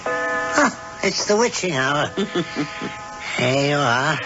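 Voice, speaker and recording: An American actor playing a folksy, cheerful elderly husband, chatty and affectionate, in old-time radio drama style. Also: male voice, on the high side, expressive, drawn-out words, music in the background. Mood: homely, businesslike. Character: cheerful, folksy elderly husband, a practical homely male witch. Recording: dull, hissy old radio recording.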